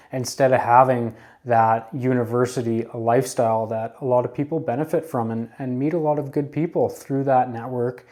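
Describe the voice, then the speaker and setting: A man's voice: mid-range voice, a little mellow. A man in his late thirties talking casually to camera, giving earnest, repetitive life advice about careers. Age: 30s. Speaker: advice vlogger.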